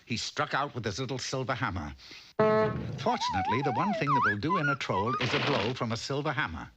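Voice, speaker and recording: A theatrical male cartoon narrator reading a fairy tale. His pitch moves in lively sweeps, over clear background noise on the soundtrack. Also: a little fast. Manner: theatrical storybook delivery.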